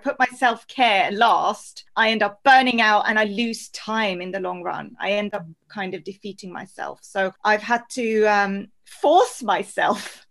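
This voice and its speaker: A woman's voice: medium pitch, measured pace, vocal fry, hardly any pauses, a bit bright. Earnest woman coach. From Norway. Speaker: podcast guest, life coach. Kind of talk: conversational interview answers.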